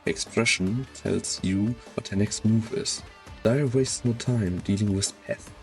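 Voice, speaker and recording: A man narrating a game story in a measured, solemn, dramatic scripted style. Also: on the low side, vocal fry, a little mellow, soft background music.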